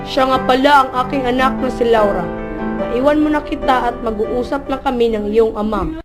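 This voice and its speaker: A woman's voice: a little high, normal speed, loud music behind the voice, close to an everyday voice. A woman with a Filipino accent playing a kindly, commanding male elder of the court in an amateur radio drama. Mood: welcoming, commanding.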